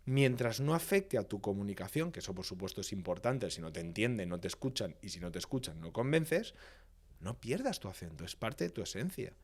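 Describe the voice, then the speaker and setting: Man, fairly low voice, from Valencia, Spain, speaking expert, emphatic, teacherly, repeats lines for rhythm. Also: very animated, a little fast, a little mellow, husky. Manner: didactic, emphatic, lists points and repeats phrases for rhythm. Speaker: communication and public speaking expert. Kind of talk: podcast interview, explanatory.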